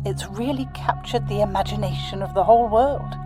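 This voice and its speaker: An actress playing a haughty, self-assured British entrepreneur, posh and dismissive, giving an interview in an audio drama. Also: female voice, mid-range voice, fairly quick, over music, close to an everyday voice. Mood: smug, boastful.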